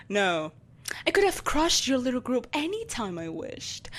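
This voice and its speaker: A woman's voice: a little high, exaggerated voice. A woman playing a malevolent, possessive empress in a dramatic audio roleplay, imperious and theatrical, with mocking sweetness.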